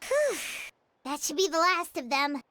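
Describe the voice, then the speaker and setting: A woman's voice: very high voice, very animated, unhurried, no echo, heavily acted. A woman voicing a small, cheerful, confident childlike fairy companion in a video game, chatting casually and expressively. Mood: chatty, curious. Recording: loud game music behind.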